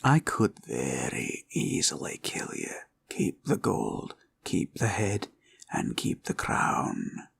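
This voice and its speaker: A man voicing a sly, greedy green dragon villain in a fantasy roleplay, with a husky growl and taunting, drawling delivery. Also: low voice, huge pitch swings, fairly bright tone, a little hiss or hum, exaggerated voice. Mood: menacing, taunting. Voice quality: gravelly, husky, breathy growl. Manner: slow, drawling, taunting, archaic turns of phrase.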